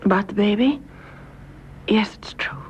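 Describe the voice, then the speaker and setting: Actress as an anguished, hushed young British wife. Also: female voice, medium pitch, measured pace, natural voice. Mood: subdued, anxious. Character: anguished, secretive young wife, gently well-bred. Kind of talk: radio drama acting. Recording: dull, noisy radio drama recording.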